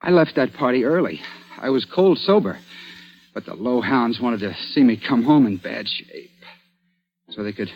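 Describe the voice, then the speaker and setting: A man's voice: medium pitch, expressive, normal speed, natural voice. An actor playing an agitated, stubborn young man-about-town caught up in an old-time radio mystery, speaking in a dramatic, exclamatory way. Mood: groggy, pleading. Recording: dull, band-limited vintage radio recording.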